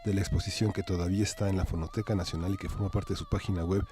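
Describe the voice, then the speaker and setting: A man's voice: low-pitched, wildly swooping pitch, very fast, warm tone. A man with a Mexican accent co-hosting a magazine show in a conversational presenting style. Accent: Mexican Spanish.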